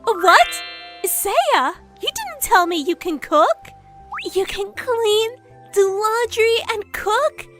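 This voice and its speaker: A cute young romcom heroine with a very high, girlish voice. She takes her time over her words, sounding sweet, kind and easily flustered. Light music runs underneath her manga-dub performance. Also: animated, slow, fairly bright tone, full character voice. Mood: delighted, gushing. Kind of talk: anime-style manga dub acting.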